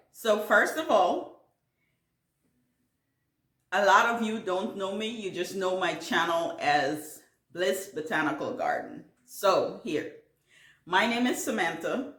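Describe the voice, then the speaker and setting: Slow-talking Caribbean woman in a roomy echo. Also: medium pitch, a bit bright. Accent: Guyanese Caribbean. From Guyana. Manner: chatty, rambling with many fillers and restarts. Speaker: gardening channel host. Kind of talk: casual Q&A vlog. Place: home.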